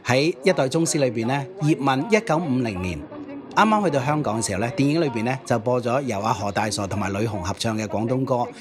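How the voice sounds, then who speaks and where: A man's voice: mid-range voice, animated, fast talker, crowd noise. A man with a Cantonese accent co-hosting a music show, chatting casually and often checking in with questions. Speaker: co-host of a Cantonese pop history show. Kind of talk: casual conversational commentary on films and old songs.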